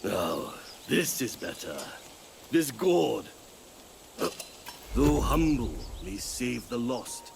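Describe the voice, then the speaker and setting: An actor playing an old, kindly, mysterious spirit with a gravelly voice, giving hushed advice. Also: male voice, mid-range voice, very animated, extremely slow, pauses often, full character voice. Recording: noisy ambient background.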